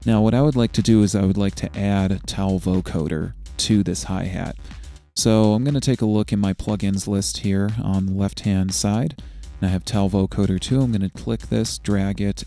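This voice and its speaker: A man narrating a step-by-step software tutorial in a methodical, explanatory way. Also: deep voice.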